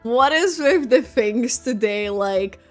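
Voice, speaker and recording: A woman giving casual reaction commentary as she plays a game, musing haltingly. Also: on the high side, animated. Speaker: gaming streamer reacting to a game. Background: game music.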